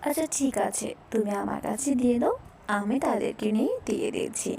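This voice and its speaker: A woman voicing a homely family woman, affectionate but quick to nag, in a cartoon fairy tale. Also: a little high, gravelly, warm tone, a little hiss or hum. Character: village women of a family, affectionate mother-in-law and nagging married daughter.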